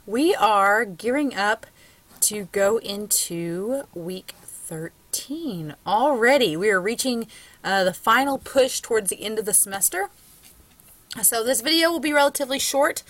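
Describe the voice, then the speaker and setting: A woman's voice: mid-range voice, a bit bright, no room sound. A woman with a Southern US accent, a college English instructor giving a conversational recorded lecture with frequent ums. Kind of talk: recorded lecture with screen share.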